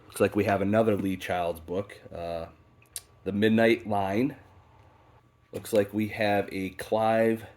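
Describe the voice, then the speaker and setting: A man's voice: lowish voice, a little mellow. A man with a stuffy head cold, talking casually and unhurriedly through a pile of books, with many fillers. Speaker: book channel host. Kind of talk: casual unboxing talk. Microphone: off-camera voice, faceless video.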